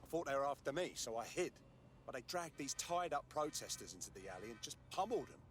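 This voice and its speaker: An actor playing a frightened street artist in a video game, talking in a nervous, pleading, colloquial way. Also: male voice, medium pitch, sing-song, quick pace, soft background music, natural voice. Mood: anxious, pleading. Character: frightened male street artist who witnessed violence. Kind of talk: dramatic video game acting.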